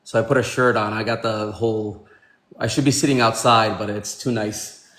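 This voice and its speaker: A man's voice: on the low side, steady tone, moderate pace, fairly bright tone, reverberant. A man in his forties, born in Pakistan, hosting a casual livestream chat from a room at home, calling people bro and my man. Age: 40s. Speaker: livestream host.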